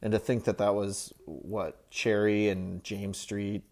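A man's voice: lowish voice, flat delivery, slow, darkish tone. A man from Seattle hosting a casual chat, talking in rambling, enthusiastic lists. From Seattle, USA. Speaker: podcast host, real estate agent.

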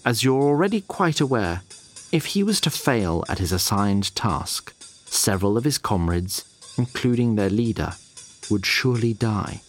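A man's voice: lowish voice, lively intonation, normal speed, soft background music. A man narrating a scripted audio drama in a literary voice, with wry asides to the listener.

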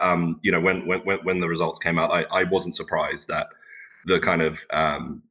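A man whose first language is Turkish, a guest in a casual interview, speaking haltingly and repeating words as he thinks. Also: deep voice, sharp tone, slightly rough. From England. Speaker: podcast guest.

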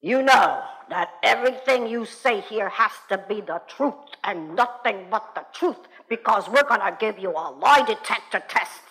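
A woman's voice: mid-range voice, animated, moderate pace, few pauses, a bit bright, poor audio. Woman quoting a curt, cold FBI interviewer. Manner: curt, clipped questions.

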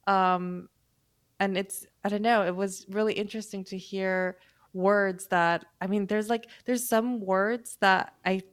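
A woman musician speaking as a guest in a casual panel talk, thoughtful and halting, with many fillers and restarts. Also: mid-range voice, steady tone, clean tone, dry recording, clean studio sound.

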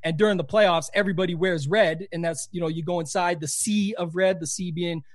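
A man's voice: high voice, fairly even intonation, a little fast, no room sound, studio quality. A Canadian man with a Canadian accent, chatting casually as a podcast guest, rambling with lots of "you know". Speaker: podcast guest, fantasy sports player. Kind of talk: casual interview chat.

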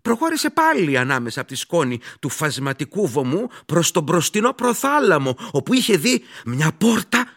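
An actor playing a brooding male narrator in a horror radio play, speaking dramatically and suspensefully with drawn-out pauses. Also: on the high side, sing-song. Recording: loud music under the voice.

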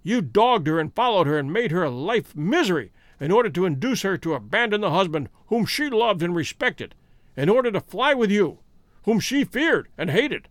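A masterful storybook detective, voiced by a male narrator, composed and stern. He speaks precisely and with command, in a high, expressive voice, recorded dry. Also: no echo.

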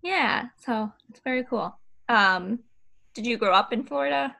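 A young American woman hosts a casual interview. Her voice is fairly high and bright, with a conversational delivery. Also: unhurried, vocal fry. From Michigan, United States. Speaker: podcast host.

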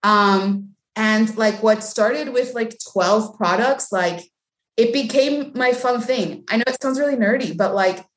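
A woman's voice: fairly high pitch, a little slow. A woman from Mexico, a guest on a podcast, talking casually with lots of "like" and "um" fillers. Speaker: guest, bilingual speech language pathologist. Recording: echoey room.

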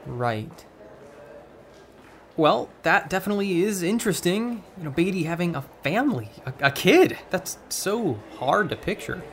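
Sardonic, awkward teen pal, casual audio-drama dialogue, lively swings in intonation, faint ambient noise. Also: female voice, fairly low pitch, unhurried. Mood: curious. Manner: stammering when flustered, casual, wry. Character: teenage friend, awkward, blunt, sarcastic, restless at home.

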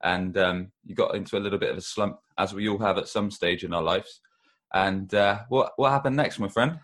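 A man's voice: on the low side, brisk, fairly bright tone. A man hosting an interview, asking questions and giving the guest friendly encouragement. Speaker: podcast host.

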